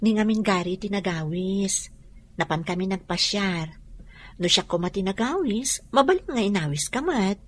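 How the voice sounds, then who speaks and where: An actress playing a happy young woman planning her wedding, with a husky, sweet voice and an Ilocano accent. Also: mid-range voice, expressive, moderate pace, a little hiss or hum, close to an everyday voice. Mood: cheerful.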